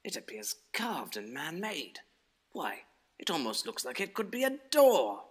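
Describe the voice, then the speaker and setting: A man's voice: fairly high pitch, wildly swooping pitch, measured pace, husky, full character voice. A man playing a bumbling adventurer hero in an audio story, thinking aloud theatrically with quaint exclamations. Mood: dismayed, wondering.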